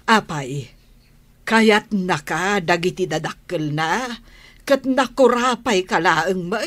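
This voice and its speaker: A woman's voice: lowish voice, expressive, takes it slowly, a bit bright. An actress with an Ilocano Filipino accent playing a doubtful, questioning middle-aged mother in a radio drama.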